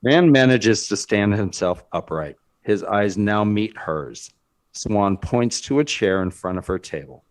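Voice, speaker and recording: A man's voice: on the low side, lively intonation, measured pace. A man reading scripted dramatic narration as the narrator of a screenplay.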